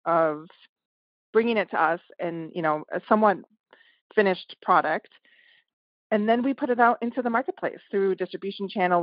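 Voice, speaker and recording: A woman's voice: on the low side, no room sound, highs cut off. A woman who runs a publishing house, speaking as a podcast guest in a thoughtful, conversational way with occasional fillers. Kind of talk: casual podcast interview.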